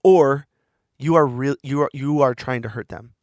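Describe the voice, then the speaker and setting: A man's voice: mid-range voice, normal speed, no echo. A man hosting a podcast, talking alone in a rambling, earnest way while he is losing his voice. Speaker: podcast host. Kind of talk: reflective solo monologue.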